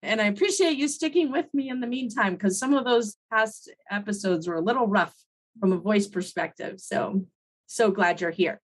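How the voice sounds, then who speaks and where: A woman's voice: medium pitch, moderate pace, rough recording. A middle-aged woman hosting a solo podcast, talking to listeners in a conversational, encouraging way, her voice just back after laryngitis. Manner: conversational, encouraging, frequent "right?" asides. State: recovering from laryngitis. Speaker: podcast host and consultant coach. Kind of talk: solo podcast teaching talk.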